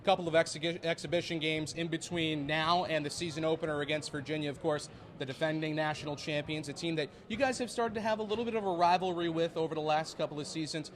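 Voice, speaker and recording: A man's voice: fairly high pitch, steady tone, fast, hardly any pauses. A young man working as a sports reporter, speaking in a polished broadcast delivery for interviews and narrated features. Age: young adult.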